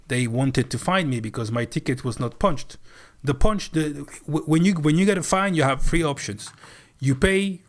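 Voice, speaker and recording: A man telling a story on a live stream, animated and swearing freely as he acts out a heated argument. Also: mid-range voice.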